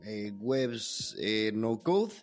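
A man's voice: fairly low pitch, very animated, very slow, soft background music. A man hosting an interview, asking curious questions and cutting in playfully.

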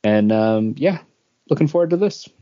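A man's voice: medium pitch, animated, normal speed, warm tone. A middle-aged man co-hosting a comics podcast in casual chat, hesitant and full of filler words. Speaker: podcast co-host. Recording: dull lo-fi audio.

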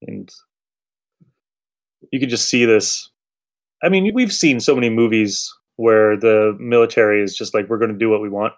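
A man's voice: lowish voice, moderate pace, pauses often. Irreverent, blunt man chatting casually. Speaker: podcast host. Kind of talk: casual chat, discussing an old radio show.

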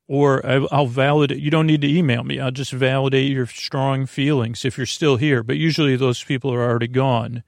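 Creaky-voiced man, rambling and close-up. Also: mid-range voice, dry recording. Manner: meandering, stammering, trailing off and losing his thread. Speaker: podcast host. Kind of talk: rambling, informal bedtime podcast talk to listeners.

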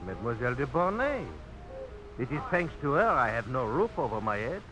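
A man playing an impoverished, excitable French painter in a radio drama, with a French accent and theatrical, lamenting delivery. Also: mid-range voice, brisk, close to an everyday voice. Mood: amused. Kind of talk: 1950s radio drama acting. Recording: noisy old radio broadcast recording.

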